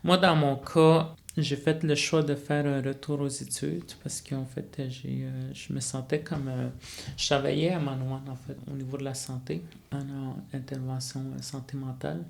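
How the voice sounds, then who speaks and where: Une femme de langue maternelle atikamekw, à l'québécois, invitée d'une entrevue, qui parle de façon réfléchie avec beaucoup d'hésitations. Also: female voice, extremely low pitch, flat delivery, moderate pace, gravelly, a little mellow, slight background noise. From Quebec. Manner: reflective, frequent fillers and restarts. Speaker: guest, student life facilitator. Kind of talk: conversational podcast interview.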